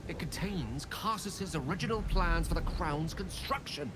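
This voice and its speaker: Guy acting ambitious wizard scholar for a game, dramatic and eloquent, lively pitch, noisy ambience behind. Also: normal speed, natural voice. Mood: awed.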